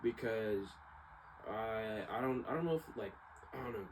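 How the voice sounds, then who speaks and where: A man's voice: lowish voice, animated, a little slow. A young man giving a casual, rambling personal monologue in informal slang, often circling back and trailing off. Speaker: vlogger. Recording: clearly noisy background ambience.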